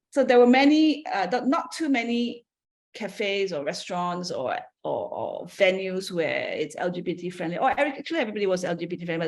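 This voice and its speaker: A woman in her forties from Malaysia, speaking as a guest at a seminar and telling her life story in a conversational way, with many fillers and self-corrections. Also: medium pitch. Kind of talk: personal talk to an audience.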